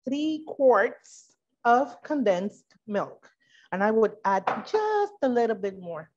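Slow, high-voiced woman teaching cooking. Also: big pitch swings, noisy background. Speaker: cooking class instructor. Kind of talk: live cooking demonstration. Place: indoor kitchen with steel fridge and counters. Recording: dull, muffled lo-fi live video sound.

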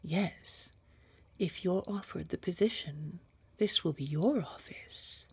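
A woman playing a poised, sinister boss in an audio roleplay, speaking formally in a hushed, husky, measured and quietly controlling way. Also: fairly low pitch, no room sound, full character voice. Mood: composed. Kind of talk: scripted audio roleplay acting.

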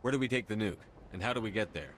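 An actor playing a firm, level-headed human commander in a video game, speaking in a steady, resolute way. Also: male voice, fairly low pitch, racing, a little hiss or hum, lightly acted. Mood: businesslike. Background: music.

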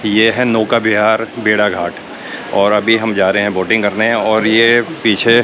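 A man's voice: on the low side, flat delivery, a little slow, dull audio. Man vlogging over outdoor noise. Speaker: motorcycle travel vlogger. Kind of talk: casual vlog narration to camera. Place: outdoors, on a motorbike and at a riverside tourist spot. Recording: noisy outdoor action-camera sound.

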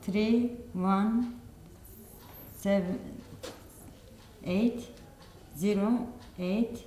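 Very slow, halting woman reading aloud. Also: medium pitch, fairly even intonation, mellow, reverberant, slight background noise. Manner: halting, word by word, self-correcting. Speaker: adult English learner. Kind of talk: hesitant answers in basic English. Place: small studio set, indoors.

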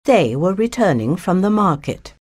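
A woman narrating a children's story from a script, in an expressive storybook manner. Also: on the low side, moderate pace, breathy edge.

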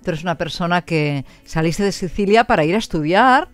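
A woman's voice: on the low side, expressive, fairly quick, no echo. A woman with an accent from Spain, presenting a radio interview as host. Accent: Spanish, from Spain. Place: radio studio.

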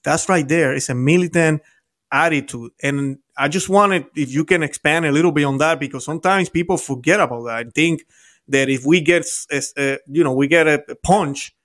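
A man's voice: a little high, fairly bright tone. A man in his forties, a native Spanish speaker, hosting an informal interview and often checking for agreement as he goes. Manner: informal, halting, seeks agreement with tag questions. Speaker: host, interviewer. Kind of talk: conversational interviewing. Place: home office, indoors, video call. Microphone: desk microphone up close.

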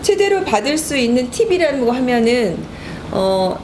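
A middle-aged woman, a lawyer hosting a talk show, explaining rules clearly to a co-host. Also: high-pitched, very animated, unhurried, noisy background.

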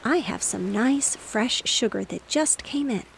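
A woman voicing a small boy playing shopkeeper, earnest and bossy, in a soft whispery storybook reading. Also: fairly high pitch, lively intonation, measured pace, creaky, a little hiss or hum, low-quality recording.

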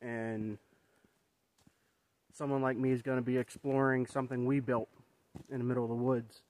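A man's voice: medium pitch, level tone, normal speed, a little mellow, poor audio. A man in his thirties walking a wooded trail, filming himself at arm's length and talking in a rambling, reflective way with many fillers. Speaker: vlogger. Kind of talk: casual walking vlog. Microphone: phone or camera held at arm's length.

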